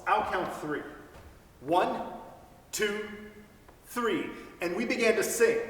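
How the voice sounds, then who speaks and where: A man giving an expressive, measured dramatic reading of a literary story. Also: on the high side. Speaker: storyteller reader. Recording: echoey hall, distant mic, faint noise.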